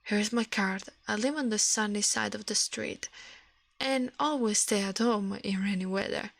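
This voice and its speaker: A woman's voice: mid-range voice, normal speed, vocal fry, no room sound. A woman voicing a man's living shadow in an ASMR storybook reading, pompous, smug and condescending. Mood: boastful. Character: man's shadow come alive, smug, condescending, self-important master.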